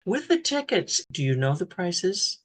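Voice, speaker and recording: A woman's voice: fairly low pitch, sing-song, unhurried. A woman hosting a radio interview, asking questions and giving announcements.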